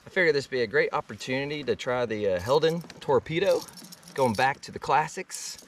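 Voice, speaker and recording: A man's voice: medium pitch, animated, fairly quick, slight background noise. A man, chatting casually and slangily while fishing from a kayak. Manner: laid-back, slangy. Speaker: kayak angler and vlogger. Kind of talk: casual fishing vlog chat. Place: outdoors in a kayak on a river. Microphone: action camera mounted on the kayak. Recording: outdoor action camera, distant at times.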